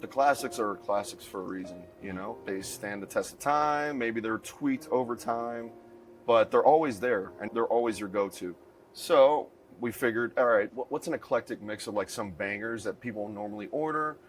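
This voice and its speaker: A man's voice: on the low side, normal speed. A man from Miami, a bartender and bar co-owner, chatting in an interview in a laid-back, slangy way. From Miami, United States. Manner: laid-back, slangy, says dude and bro. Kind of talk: casual interview chat. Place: inside a bar. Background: music.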